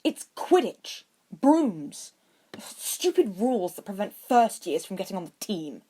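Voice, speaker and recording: A woman's voice: mid-range voice, sing-song, unhurried, dark tone, breathy edge. A woman voicing a snide, haughty schoolboy wizard who complains with snooty emphasis, in a storybook reading. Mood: frustrated.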